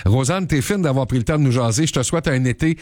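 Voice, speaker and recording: A man's voice: medium pitch, quick pace, darkish tone, no room sound, studio quality. A man hosting a morning radio show, chatting casually and joking, his voice jumping up on questions.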